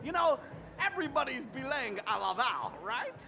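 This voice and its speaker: Boisterous English-speaking man, high gravelly voice. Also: sing-song, moderate pace, over music. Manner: boisterous, mixes English into French, whoops. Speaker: comedian guest. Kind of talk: hyped-up festival interview.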